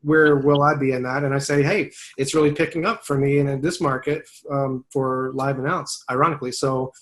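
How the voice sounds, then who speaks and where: A man's voice: mid-range voice, level tone, brisk, a bit bright. A man working as a voiceover agent, talking in a casual webinar conversation, thoughtful and rambling, often restarting his sentences.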